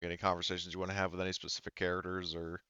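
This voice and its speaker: Low, smooth-voiced game master, slightly muffled. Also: male voice, monotone, racing, bright, forceful tone, no echo. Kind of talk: casual tabletop game narration.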